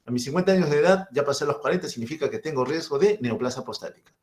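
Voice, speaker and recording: A man's voice: fairly high pitch, expressive, very fast, darkish tone. A man in his fifties with a Peruvian Spanish accent, giving a medical lecture and asking and answering his own questions. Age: 50s.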